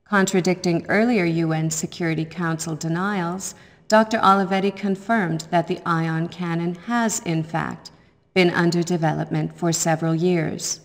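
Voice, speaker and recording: A woman playing a serious TV news reporter in a video game, reading in a formal, measured manner. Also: lowish voice, fairly even intonation, in a large hall, close to an everyday voice. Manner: formal, measured newsreader delivery. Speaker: news anchor.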